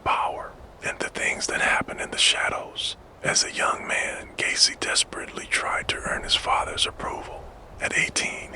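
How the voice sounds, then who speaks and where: A man narrating a scripted story in a hushed, gentle ASMR whisper, as the host of a sleep storytelling show. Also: very high voice, poor audio. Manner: hushed, gentle, soothing delivery. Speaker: host and narrator of a true crime sleep channel.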